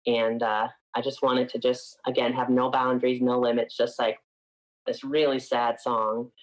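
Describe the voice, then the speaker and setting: A man's voice: mid-range voice, little pitch movement, normal speed, low-quality recording. A man in his twenties from upstate New York, a singer chatting casually as an interview guest and filling his talk with frequent "like"s.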